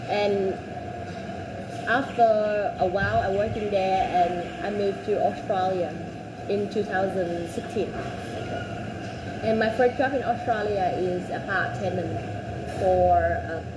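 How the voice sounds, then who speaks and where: A woman in her twenties, born in Vietnam, a job applicant telling her work history in halting speech with many fillers and restarts. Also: medium pitch, fairly even intonation. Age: 20s. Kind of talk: interview answers. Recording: echoey room, loud background noise.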